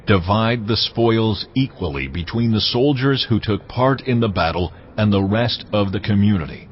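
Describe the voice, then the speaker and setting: An actor playing God in dramatized scripture, a solemn and authoritative male voice giving measured commands. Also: lowish voice, lively intonation, lightly acted. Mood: solemn, commanding. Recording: muffled, with noisy ambience underneath.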